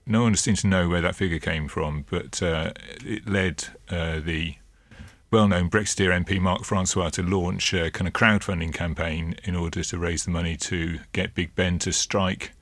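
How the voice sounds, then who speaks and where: An English man with a very low voice discusses the week's politics as a podcast co-host. He talks in a measured, hesitant way, full of fillers and restarts. The sound is close and dry, with no room behind it. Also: steady tone, a bit bright, dry recording.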